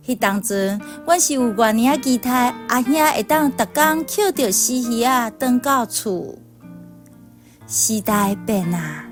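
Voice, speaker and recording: A woman with a Taiwanese accent reading a personal essay as scripted storytelling narration. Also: fairly high pitch. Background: soft instrumental music.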